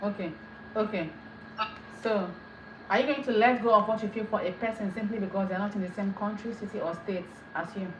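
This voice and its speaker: A woman moderating a casual online group discussion, prompting the others briskly with steady "okay" and "mm-hmm" replies. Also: mid-range voice. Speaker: discussion host. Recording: echoey online call with background noise.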